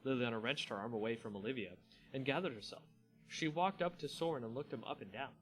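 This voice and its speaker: A man's voice: medium pitch, fast talker, a bit bright, poor audio. A man hosting a dramatic reading of a fantasy story, narrating with casual asides. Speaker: channel host and story reader. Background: music.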